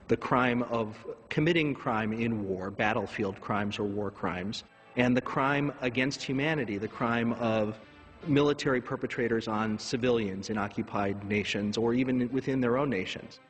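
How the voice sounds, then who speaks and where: A man speaking as an expert in a documentary interview, listing points with deliberate emphasis. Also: mid-range voice, long reverb tail, soft background music. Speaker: expert commentator.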